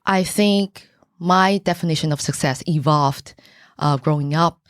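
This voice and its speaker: A middle-aged woman from Taiwan speaks English with a Taiwanese accent, Mandarin being her first language. Her voice sits low and the room is dry. She talks earnestly, with pauses and searching for words. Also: no room sound. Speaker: buyer's agent, podcast guest. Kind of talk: casual interview answers.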